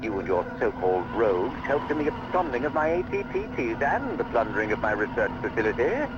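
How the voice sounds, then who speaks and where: A man's voice: medium pitch, very animated, fairly quick, noisy background, close to an everyday voice. A man playing a haughty, cold, sneering governor in a video game cutscene, with pompous, formal, gloating speech. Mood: gloating menace. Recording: muffled lo-fi game audio, music behind.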